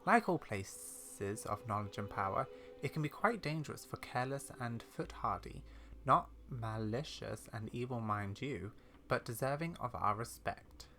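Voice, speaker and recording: An actor voicing a fantasy quest character who tells of secrets and magic in a measured, dramatic way. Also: medium pitch, lively intonation, pushed and bright. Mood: solemn, mysterious. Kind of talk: scripted video game dialogue. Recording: music behind the voice.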